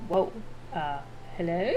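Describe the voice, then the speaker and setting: A woman's voice: lowish voice, expressive, takes it slowly, dark tone, slight background noise. A woman casually commenting as she streams a game, with wry asides.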